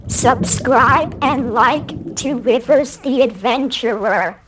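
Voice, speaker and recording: Slow, distorted, filtered female voice. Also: a little high, noisy background. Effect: warping, distorting voice-changer filters. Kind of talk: repeated channel promo line.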